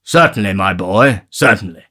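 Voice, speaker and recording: A man doing a smug condescending gentleman. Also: lowish voice, animated, moderate pace. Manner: smiling and condescending. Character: smug, benign-seeming elderly gentleman official.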